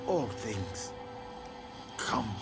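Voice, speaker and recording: An actor playing a megalomaniac, envious video game villain with a measured, taunting, theatrical delivery. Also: male voice, fairly low pitch, animated, vocal fry, exaggerated voice. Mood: bitter, cold. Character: megalomaniac male villain, envious and mocking, craving to remake mankind. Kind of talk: video game cutscene acting. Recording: loud music over the voice.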